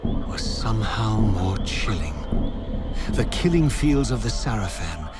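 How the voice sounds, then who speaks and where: Raziel, low brooding undead wraith. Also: male voice, sing-song, music in the background. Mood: chilled disgust. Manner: solemn, formal, measured dramatic delivery. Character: Raziel, brooding undead male wraith avenger, grave and eloquent. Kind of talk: theatrical scripted game narration.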